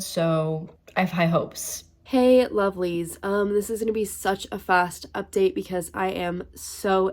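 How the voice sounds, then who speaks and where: A woman's voice: lowish voice, a little mellow. A young woman in her twenties with a cold, congested and coughing, chatting emphatically in a casual reading vlog. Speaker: book vlogger.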